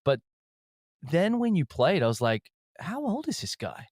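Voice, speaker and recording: A man's voice: a little high, wildly swooping pitch, unhurried, darkish tone, dry recording, studio quality. A man interviewing two musicians in a casual, rambling, enthusiastic way.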